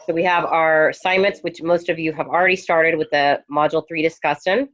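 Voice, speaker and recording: Hoarse woman teacher with a low voice. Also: steady tone, brisk, a bit bright. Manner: hesitant, many ums. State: losing her voice. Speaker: instructor. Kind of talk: online course lecture. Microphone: headphone mic. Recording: dull lo-fi recording.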